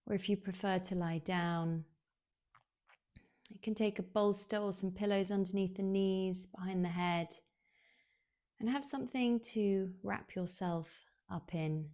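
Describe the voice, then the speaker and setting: A woman guiding a relaxation meditation in a soothing, unhurried way, leaving long pauses, with soft, breathy phrase endings. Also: mid-range voice, steady tone. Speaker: yoga nidra guide.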